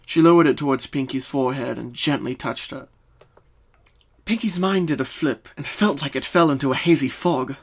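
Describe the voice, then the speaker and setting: A man's voice: medium pitch, moderate pace, husky. A man reading scripted story narration as a dramatic narrator.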